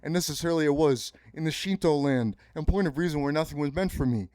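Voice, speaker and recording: A man's voice: on the high side, fast talker, darkish tone, dry recording. Man rambling grandly, dry close sound. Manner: grandiose, pseudo-philosophical preaching with sudden profanity; repetitive, circling phrasing. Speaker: podcast host. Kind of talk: rambling solo podcast monologue.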